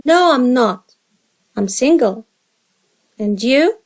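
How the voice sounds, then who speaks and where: Female English teacher with a high voice, leading repeat-after-me practice. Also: lively intonation. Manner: slow, carefully spaced drill reading. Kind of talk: repeat-after-me dialogue drill.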